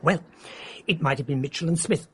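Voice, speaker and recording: An actor playing an eccentric, self-pleased English male mystery writer in a radio drama, effusive and theatrical, with a husky voice. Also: fairly high pitch, fairly quick. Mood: musing.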